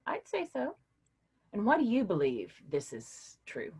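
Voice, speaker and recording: Brief: actress as a husky, low-voiced woman professor, patient and questioning, lively delivery. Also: unhurried, heavily acted. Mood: teacherly. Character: woman college philosophy professor, encouraging and patient. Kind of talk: staged play reading.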